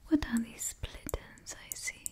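Young woman doing asmr, soft breathy whisper right up on the mic, slow and gentle, mellow. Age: 20s. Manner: slow, hushed, repeats words softly. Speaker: ASMR host. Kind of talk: ASMR role-play and sponsor read. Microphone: close microphone.

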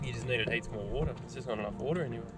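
Fast-talking blunt Australian angler. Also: male voice, mid-range voice, over music. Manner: blunt, swears casually. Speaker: tournament angler. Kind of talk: casual chat between anglers. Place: outdoors on a fishing boat.

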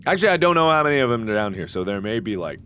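A man with a hoarse voice giving jokey let's-play commentary into a headset, with sudden excited yelps. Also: fairly low pitch, very fast, slight background noise. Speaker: gaming video host.

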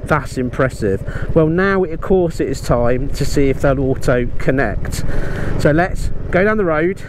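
Middle-aged British man, effusive over loud road noise. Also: a little high, expressive, measured pace. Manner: emphatic, effusive. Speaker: motorcycle gear reviewer and host. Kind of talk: enthusiastic product review vlog.

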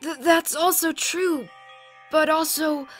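A woman voicing a timid, gentle teenage boy in a fan manga dub, hesitant and soft, with stammers. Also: high-pitched, steady tone, slow, soft background music. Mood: timid, pleading.

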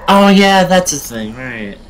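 A man's voice: sits high, animated, a little slow, a crowd in the background. A young adult man bantering casually with friends in a goofy, joking way. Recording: very noisy mic with clicks.

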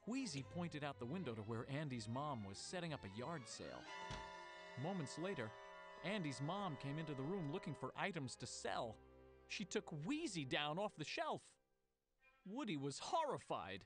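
A man's voice: a little high, very animated, normal speed, music in the background. A man reading a scripted children's storybook as narrator.

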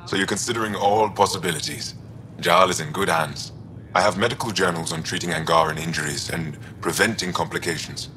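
An actor playing a calm, courteous alien doctor in a video game, speaking in a measured, formal way. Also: male voice, deep voice, slight background noise. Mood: measured, curious.

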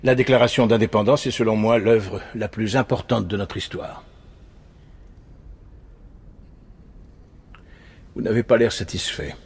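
An actor playing a jaded middle-aged news anchor in a dubbed TV drama, speaking in a biting, emphatic, rhetorical manner. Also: male voice, lowish voice, fairly even intonation, fairly quick, breathy edge. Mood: dry, evasive. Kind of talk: dramatic monologue in a dubbed TV series.

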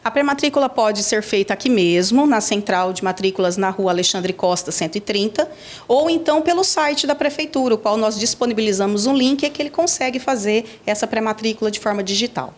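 A woman in her forties, director of a school enrollment office, answering a reporter's questions in a measured, explanatory way with frequent fillers, indoors on a handheld mic. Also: a little high. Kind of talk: news interview answers.

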